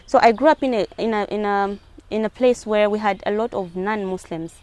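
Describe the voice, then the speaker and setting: A woman's voice: medium pitch, fairly quick, a little mellow, no room sound. Zambian woman speaking as an interview guest. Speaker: interview guest, hijab advocate. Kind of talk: earnest spoken interview answers.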